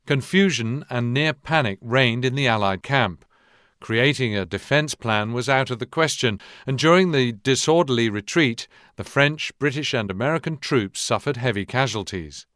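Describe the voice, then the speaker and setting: A man plays a grave documentary-style narrator for a war video game. His voice is smooth and resonant at a middling pitch, formal and measured, recorded very dry. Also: a bit bright, no room sound, close to an everyday voice. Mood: sober, grave. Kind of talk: scripted historical war narration.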